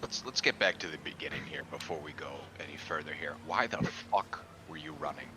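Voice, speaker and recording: A man's voice: extremely low pitch, expressive, a little fast, sharp tone, slight background noise. A man playing a tabletop game, talking casually at the table in a blunt, insistent way.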